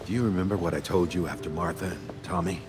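An actor playing a weary, kindly older colonel in a game cutscene, with plain-spoken delivery. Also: male voice, low voice, steady tone, fast, music in the background, natural voice. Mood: weary, grieving. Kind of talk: naturalistic video game cutscene acting.

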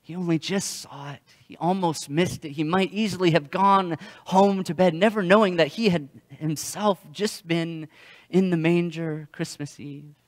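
A young adult man gives a sermon in a slightly high-pitched voice. His delivery is halting, with words repeated as he moves through his stories. Long reverb from a hall surrounds the voice. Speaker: pastor. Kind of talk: sermon with storytelling. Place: church sanctuary, indoors.

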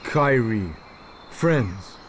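An actor playing a simple, curious, gentle wild man of the jungle in a video game, halting and repeating single words in broken speech. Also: lowish voice, expressive, a little hiss or hum. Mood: intent, simple. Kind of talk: video game cutscene voice acting.

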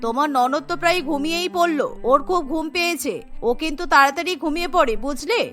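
A woman plays a middle-aged cartoon mother-in-law with a very high, bright voice. She is bossy yet indulgent, handing out instructions and questions over music. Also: quick pace. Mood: firm, coaxing. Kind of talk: cartoon voice acting.

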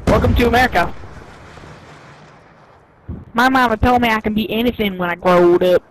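A high-voiced man chats over a game headset. He sounds prim and scolding, and there is clear noise with gunfire behind him. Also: normal speed. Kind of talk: casual game voice chat.

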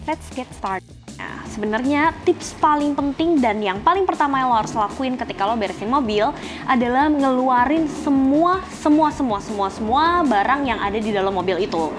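A woman's voice: sits high, animated, normal speed. A woman in her twenties with a Jakarta accent, presenting a casual how-to video to the camera in a chatty, slangy way with frequent "eh" fillers. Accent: Jakarta Indonesian. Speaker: car channel presenter. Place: outdoors by a car in a residential driveway. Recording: music playing behind the voice.

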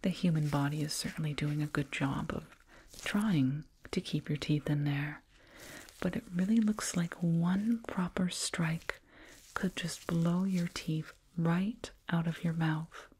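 A woman plays an alien dentist who whispers right up close in an ASMR roleplay. The voice is very breathy and low, drawn out at a slow pace. The manner is clinical yet doting, soothing a human patient with gentle condescension. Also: full character voice. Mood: sympathetic, concerned. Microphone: binaural mic, up close.

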